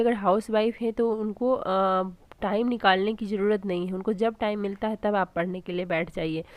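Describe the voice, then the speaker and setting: Hoarse young woman, earnest encouragement. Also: medium pitch, no room sound. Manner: earnest, encouraging, many restarts. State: sore throat, hoarse. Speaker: vlogger studying for exams. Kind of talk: casual motivational talk to camera.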